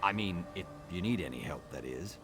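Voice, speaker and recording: A bashful, helpful fantasy game character. His deep voice rises and falls a lot, hesitant and full of small afterthoughts. Music is clearly heard behind him. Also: expressive, brisk. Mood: shy, helpful. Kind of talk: video game character dialogue.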